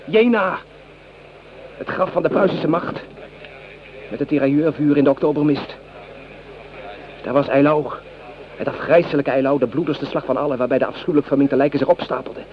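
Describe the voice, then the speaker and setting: A man's voice: a little high, brisk, pauses often, close to an everyday voice. A man playing an idealistic, patriotic young bourgeois soldier in a Dutch radio drama, declaiming rhetorically. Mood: rapturous declaiming. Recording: dull, noisy radio play recording.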